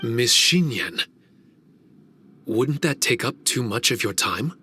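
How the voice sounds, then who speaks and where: A man's voice: mid-range voice, animated, takes it slowly, pushed and bright, slight background noise. A man playing an earnest, dutiful guardian spirit in a video game, speaking formally and courteously. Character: earnest, dutiful male guardian spirit of a mystic training domain. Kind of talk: video game cutscene voice acting.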